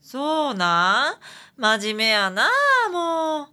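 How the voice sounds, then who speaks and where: A woman's voice: sits high, sing-song, slow, bright, forceful tone, exaggerated voice. A woman playing a caring, teasing detective's assistant in a radio drama, chatty and fussing, with a Kansai accent. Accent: Japanese, Kansai.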